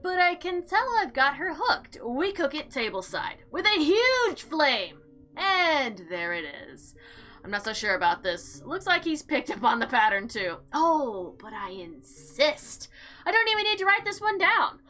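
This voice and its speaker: Lively, high-pitched woman chatting through a game. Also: unhurried. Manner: chatty, expressive, theatrical when reading. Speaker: game player and narrator. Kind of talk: casual gameplay commentary mixed with reading visual novel text aloud. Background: background music.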